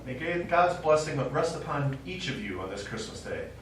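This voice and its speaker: An actor playing a stubborn elderly widower, a war veteran and retired teacher who reminisces and quotes verse in a stage play. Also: male voice, fairly high pitch, sing-song, moderate pace, a bit bright. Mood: declaiming. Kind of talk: live stage play acting. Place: small indoor theatre stage. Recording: echoey theatre, distant mic, faint noise.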